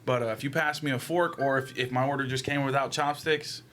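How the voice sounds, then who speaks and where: A man's voice: mid-range voice, steady tone, a little fast, a bit bright. A young man chatting casually on a podcast in a joking, slangy way, with some room noise. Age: young adult. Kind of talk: casual group chat. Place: small room, indoors. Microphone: podcast microphone with headset. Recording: faint background room noise.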